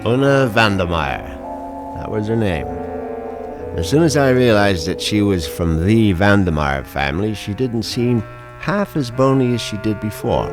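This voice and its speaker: Glib, low-voiced, animated cynical husband. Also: male voice. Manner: wry, stammering, conversational. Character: glib, cynical, self-serving husband and narrator. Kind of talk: radio mystery drama acting.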